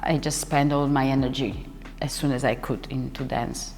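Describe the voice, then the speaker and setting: A young woman, a dancer and choreographer, chatting as an interview guest and often pausing to search for words. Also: a bass voice, flat delivery, darkish tone. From Italy. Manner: searching for words, restarts sentences, laughs often. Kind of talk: casual interview talk. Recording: hall reverb with faint music behind.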